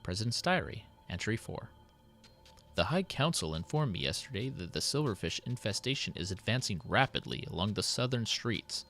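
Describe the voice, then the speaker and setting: A low male voice put on by a narrator as a burdened city president in a story. He is formal and measured, dictating a solemn diary with dutiful worry, over soft background music. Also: very animated. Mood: grave concern. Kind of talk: dramatic in-character diary reading.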